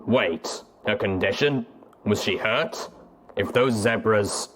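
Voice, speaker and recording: A stoic, war-weary soldier stallion from an audio story, played by a man. He talks low and measured, subdued and gruff, over clearly noisy audio with clicks. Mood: shocked, hurt.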